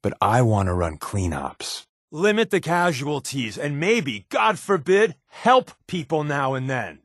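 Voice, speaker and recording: A man's voice: a little high, sing-song, a little slow, dry recording, clean studio sound. A man with an American accent playing a wry, evasive spy companion in a video game, talking conversationally with hesitant asides. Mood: firm, serious.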